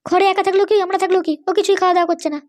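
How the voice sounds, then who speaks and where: An anxious older cartoon parent played by a woman pleads in an extremely high, squeaky voice. The words rush out insistently on a flat, unvarying note, plaintive and always pressing for agreement. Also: rapid-fire. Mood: worried. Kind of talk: amateur cartoon dialogue.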